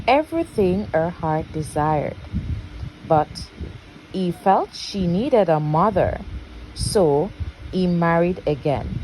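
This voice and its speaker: A woman reading a storybook aloud as a parent would at bedtime, expressive and deliberate. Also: on the low side, slight background noise, low-quality recording.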